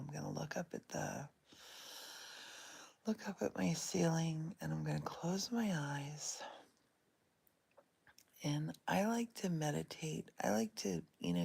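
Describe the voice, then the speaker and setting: A middle-aged woman talking softly and unhurriedly from her bed, recorded on a phone she holds in one hand. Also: sits low, vocal fry, slightly rough. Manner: soft and whispery, unhurried. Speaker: vlogger. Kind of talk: casual guided meditation vlog. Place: in bed at home. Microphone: phone held in one hand.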